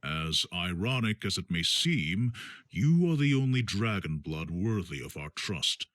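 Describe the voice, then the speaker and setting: A solemn male ruler in a video game who speaks in grave, formal tones. His voice is very low yet moves widely in pitch. The studio sound is dry. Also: very animated, a little slow, fairly bright tone, no room sound, heavily acted.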